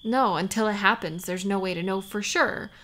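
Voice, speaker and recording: A woman acting as a tender, worried lover of a warrior in an intimate audio roleplay, speaking softly and haltingly, sometimes close to a whisper. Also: medium pitch, close to an everyday voice. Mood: pensive, questioning. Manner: soft, halting, sighing, sometimes near whisper.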